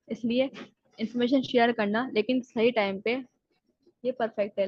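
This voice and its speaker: Woman lecturer, slightly high, flat delivery, dry and dull-sounding recording. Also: dry recording. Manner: explains in Urdu-Hindi, slowly reads English definitions aloud, then paraphrases. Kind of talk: recorded exam-prep lecture.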